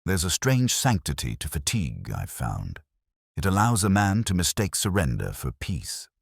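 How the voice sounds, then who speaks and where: A man playing a world-weary, erudite police inspector, narrating a mystery in brooding, literary, measured phrases. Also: low voice, huge pitch swings, vocal fry, husky, dry recording, clean studio sound, heavily acted. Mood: brooding, reflective. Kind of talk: scripted first-person mystery narration.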